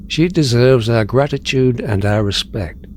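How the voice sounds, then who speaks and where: A man acting a dignified older mutant leader in an audio drama, speaking formally and deliberately with calm authority. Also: medium pitch, slight background noise, lightly acted. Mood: grave, composed.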